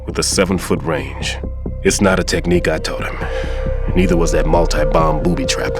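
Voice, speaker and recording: An actor playing a grave, urgent bomb disposal expert and mentor in a dramatic video game. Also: male voice, a bass voice, fairly even intonation, moderate pace, music in the background. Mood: grave, resigned.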